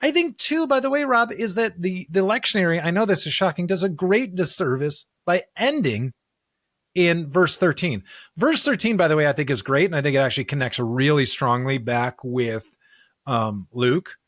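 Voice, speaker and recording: A man's voice: fairly high pitch, animated, moderate pace, fairly bright tone, dry recording, studio quality. A man co-hosting a podcast, discussing scripture conversationally in an earnest way, often posing rhetorical questions. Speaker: podcast co-host.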